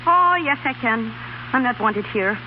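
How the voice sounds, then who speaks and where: A woman's voice: fairly high pitch, animated, unhurried. An actress playing a feisty, plain-spoken young Irish country girl with blunt dialect speech in a 1930s radio drama. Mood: stubborn, softening. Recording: dull, hissy 1930s radio recording.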